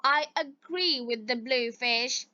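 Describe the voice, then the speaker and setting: A woman voicing a cautious, agreeable fish in a children's storybook, reasonable and gently firm. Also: sits high, slow, no echo, highs cut off, exaggerated voice. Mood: sensible, concerned. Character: sensible, cautious yellow fish, agreeable friend.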